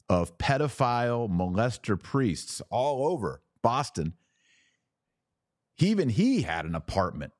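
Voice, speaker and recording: Low-voiced man, live commentary. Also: darkish tone, no room sound, studio quality. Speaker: podcast host and commentator.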